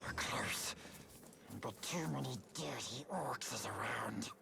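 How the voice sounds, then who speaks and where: A man voicing a wretched, sneaky, fawning little cave creature in a video game, with a raspy, hissing whisper and broken grammar. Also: medium pitch, expressive, slow, pushed and bright, full character voice. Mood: fearful, spiteful. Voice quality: raspy, whispery, creaky throaty voice. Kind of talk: video game cutscene acting.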